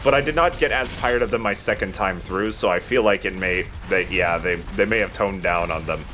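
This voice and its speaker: A man's voice: deep voice, fairly quick, sharp tone, a little hiss or hum. A man giving casual, joking gaming commentary and banter as he plays, with some swearing. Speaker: let's play host playing the game. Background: game music.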